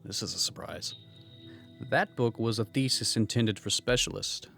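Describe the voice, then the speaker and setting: Man playing a gravelly, musing ex-professor. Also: on the low side, moderate pace, a bit bright. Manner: earnest, musing inner monologue. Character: obsessive male former archaeology professor. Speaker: voice actor in a fan manga dub. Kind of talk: fan manga dub narration and dialogue. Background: music.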